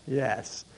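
Slow, hoarse man teaching scripture. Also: darkish tone. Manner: conversational, rhetorical questions, many restarts. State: hoarse, losing his voice. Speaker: Torah teacher. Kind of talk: Torah portion teaching.

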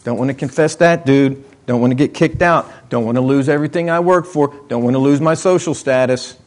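A middle-aged man preaching a sermon in a conversational way, swinging between excited, emphatic lines and quiet muttered asides. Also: medium pitch, a little fast. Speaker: pastor.

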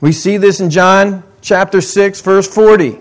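A man with a high-pitched voice delivers a sermon to his congregation. He is middle-aged and talks casually, rising into eager emphasis and then dropping into low, mumbled asides. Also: a little slow. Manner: conversational, swinging between excited highs and muttered asides. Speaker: pastor.

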